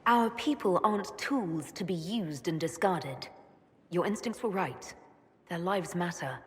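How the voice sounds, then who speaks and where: An actress playing a composed, compassionate elf mage leader in a video game, speaking in a measured, firm way. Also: female voice, lowish voice, husky. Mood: firm, reassuring. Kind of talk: video game character acting.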